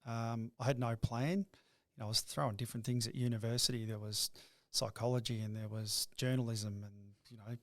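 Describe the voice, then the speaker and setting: Halting middle-aged man. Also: mid-range voice, steady tone, no room sound, clean studio sound. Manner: halting, repeats words when starting sentences. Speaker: guest, business owner. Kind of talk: reflective interview talk.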